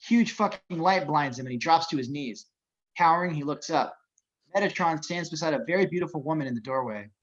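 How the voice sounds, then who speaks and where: Muffled, higher-pitched man reading stage directions. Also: brisk. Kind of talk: scripted narration in an amateur table reading.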